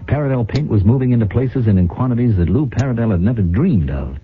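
A man's voice: medium pitch, expressive, fast, husky. An actor playing an ambitious, defensive paint-company boss with a salesman's self-justifying manner in a radio drama. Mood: smug. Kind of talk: 1970s radio drama acting and first-person narration. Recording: dull old radio broadcast, faint music.

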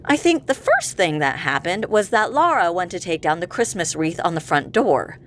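A woman's voice: lowish voice, very animated, normal speed, slight background noise. An actress playing an exasperated, sarcastic woman boss in a comedy audio drama, piecing together a fiasco. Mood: weary recounting.

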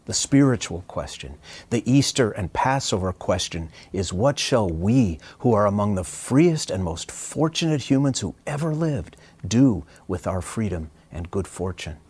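A man's voice: fairly low pitch, very animated, measured pace, darkish tone, slightly rough. A man giving short, earnest, rhetorical commentary that sounds like a sermon.

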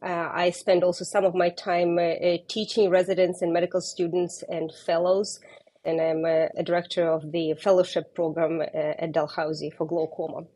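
A middle-aged woman with a Ukrainian accent, an eye doctor explaining carefully in an interview, pausing often to find her words. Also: fairly low pitch, flat delivery, warm tone, slightly rough. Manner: careful, explanatory, with frequent hesitations. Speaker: ophthalmologist guest.

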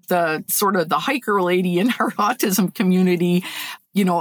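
A woman's voice: medium pitch, dry recording, clean studio sound. A middle-aged woman from upstate New York, a podcast guest telling her story in a reflective, conversational way. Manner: reflective, warm storyteller with light laughs.

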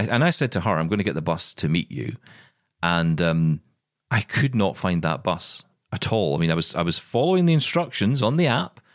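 A man's voice: low voice, dry recording, clean studio sound. A man co-hosting a podcast, chatting casually in a rambling way and often repeating words as he starts sentences. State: blind, describing progressive vision loss. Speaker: podcast co-host. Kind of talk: casual chat.

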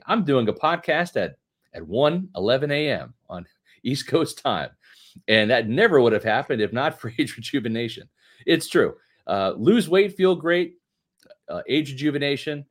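A man in his fifties co-hosting a late-night sports podcast, joking and emphatic, reading an ad in his own words. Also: medium pitch. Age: 50s. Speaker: podcast co-host.

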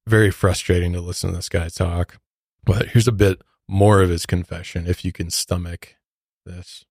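Low-voiced American man, podcast host. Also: little pitch movement, moderate pace, a little mellow, slightly rough, no echo, studio quality. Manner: casual, conversational, disapproving. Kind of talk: true crime podcast narration and commentary.

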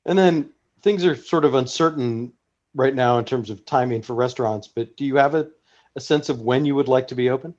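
A man's voice: medium pitch, a little fast, darkish tone. A man hosting a podcast interview, asking questions in a hedging way with frequent "sort of". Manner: hedging, says "sort of" often. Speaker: podcast host. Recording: dull lo-fi sound.

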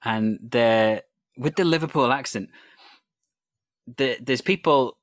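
A man from North Wales with no Welsh accent, hosting a relaxed interview, thoughtful and a little hesitant, quick to laugh. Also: medium pitch, no room sound. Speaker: podcast host. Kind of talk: conversational interview.

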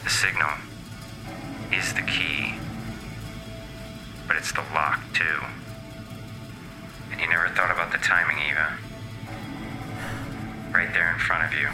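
Menacing, haunted scientist for sci-fi audio drama: rock-bottom register, deliberate and bitter, music clearly underneath. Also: male voice, low voice, little pitch movement. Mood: menacing, resolved. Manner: brooding, deliberate, ominous. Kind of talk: audio drama monologue as a voicemail.

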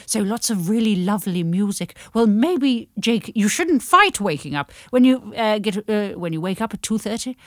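A woman's voice: mid-range voice, animated, normal speed, hardly any pauses, no room sound, studio quality. A woman co-hosting a podcast in casual chat, playful and jokey with frequent giggles. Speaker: podcast co-host.

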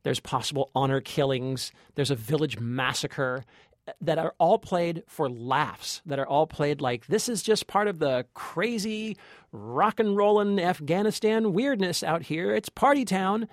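Higher-voiced man, emphatic movie critic. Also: lively intonation, a little slow, no room sound, studio quality. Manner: emphatic, repeats himself, mimics lines mockingly. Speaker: film review podcast co-host. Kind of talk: casual film review chat.

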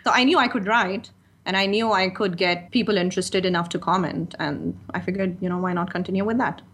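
A woman's voice: lowish voice, steady tone, a little fast, fairly bright tone, slightly rough. A woman in her thirties from Pakistan, chatting candidly as an interview guest and often slipping in "you know". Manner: chatty and candid, laughs easily, many "you know" asides. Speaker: guest, freelance writer. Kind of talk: casual interview chat.

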